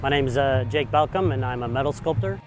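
A man's voice: medium pitch, brisk, music in the background. A man, a metal sculptor, talking hesitantly about his work in an outdoor park interview. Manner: hesitant, many fillers. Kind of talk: on-camera interview.

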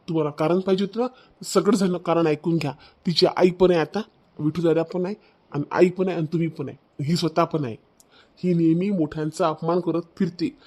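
A man's voice: fairly high pitch, warm tone, lightly acted. A man voicing an aggrieved, proud young husband in a cartoon family drama, accusing and ranting, with a Vidarbha Marathi accent. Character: aggrieved, proud young husband complaining about his wife.

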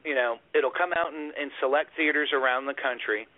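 A male horror podcast host asking guests questions, enthusiastic and quick to swear and laugh along. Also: mid-range voice. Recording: tinny phone-like audio.

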